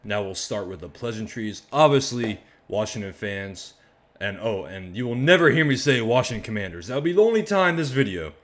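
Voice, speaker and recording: Hoarse, deep-voiced male sports vlogger. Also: moderate pace. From Maryland, US. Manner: chatty, rambling, energetic asides. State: hoarse, voice worn out. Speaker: sports fan channel host. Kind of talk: casual fan reaction monologue.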